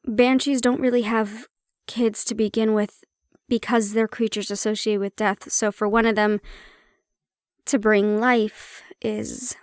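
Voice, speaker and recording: Girl voicing a half banshee half siren woman, kinda prickly n teasing, talks right to you, lightly high voice, super dry audio. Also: flat delivery, a little slow, no echo, natural voice. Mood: candid, wry. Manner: conversational, explanatory, teasing, guarded. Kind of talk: intimate audio roleplay, speaking to the listener.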